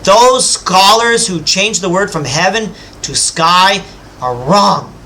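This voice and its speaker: A man's voice: high-pitched, slow, sharp tone, a little hiss or hum. A man giving an emphatic, lecturing rebuttal, speaking straight to his opponent and boasting about his own knowledge. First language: English. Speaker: self-styled religious teacher rebutting a scholar. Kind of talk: combative rebuttal monologue.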